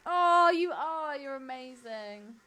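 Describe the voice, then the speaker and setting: A woman's voice: sits high, expressive, slow, bright, forceful tone. A British woman co-hosting a podcast with casual banter, blunt, teasing and sweary. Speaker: podcast host.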